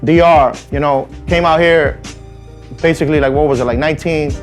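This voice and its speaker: An easygoing man in his forties, from the Dominican Republic, chats as a guest on an interview show. His voice is slightly high, and he keeps filling pauses with casual asides. A faint beat runs underneath. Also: steady tone, soft background music. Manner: easygoing, many "you know what I mean" fillers.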